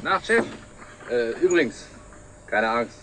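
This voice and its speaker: An actor playing a matey, respectful truck driver in a 1960s TV drama. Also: male voice, mid-range voice, lively intonation, slow. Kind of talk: 1960s German television drama acting. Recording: noisy old TV film soundtrack.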